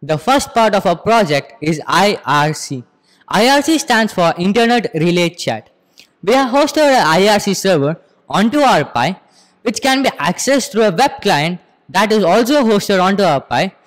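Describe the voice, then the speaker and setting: A man's voice: high-pitched, big pitch swings, normal speed. A teenage boy with an Indian accent formally explaining a school project in a rehearsed presentation. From Andhra Pradesh, India. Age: teens, about 15. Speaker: student presenter. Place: school computer lab, indoors. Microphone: handheld microphone. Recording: echoey room, long reverb.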